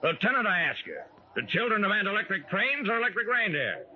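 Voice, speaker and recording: An actor playing a pompous railroad vice president in an old radio drama. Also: male voice, high voice, very animated, a little fast, lo-fi sound. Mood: self-important. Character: self-important railroad vice president dressed as Santa. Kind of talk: 1940s-50s radio acting. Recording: band-limited old radio recording, faint noise.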